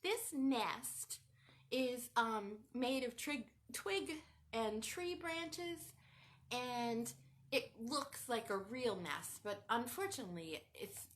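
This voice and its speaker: Lively high-voiced woman teaching small children. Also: slight background noise. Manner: sing-song, animated, talks straight to young children. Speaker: children's show host. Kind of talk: children's craft lesson. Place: home room with a cat nearby.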